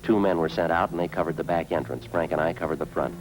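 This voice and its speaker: A man's voice: deep voice, steady tone, quick pace, natural voice, poor audio. An American man playing a terse, businesslike police sergeant in a clipped, matter-of-fact old radio crime drama. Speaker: lead actor and narrator. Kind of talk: 1940s-50s radio drama, clipped procedural narration. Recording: old radio recording with music behind.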